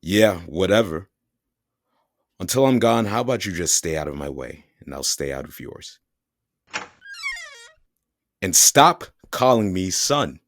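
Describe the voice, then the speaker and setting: A man's voice: deep voice, animated, measured pace, long pauses, no room sound. A man playing a bitter, sullen grown son. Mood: dismissive. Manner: sullen, sarcastic, profane. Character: bitter, resentful adult son. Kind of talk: audio drama acting.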